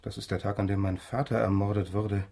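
A man's voice: lowish voice, flat delivery, a little fast, creaky, dark tone. An actor playing a grave, formal young man seeking the truth about his murdered father in a German radio drama. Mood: grave, measured.

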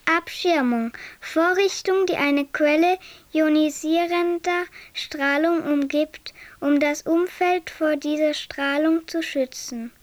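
Slow, high-voiced reciter of definitions. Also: female voice, fairly even intonation, no echo. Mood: measured recital. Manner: deliberate, drawn-out words. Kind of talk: radio drama, stylized recitation of definitions.

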